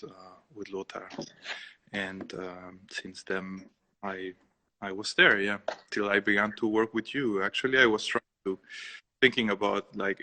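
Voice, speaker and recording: Hesitant Peruvian-accented man, low and bright. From Peru. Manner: hesitant, many fillers and restarts. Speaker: artist guest. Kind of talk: casual live interview.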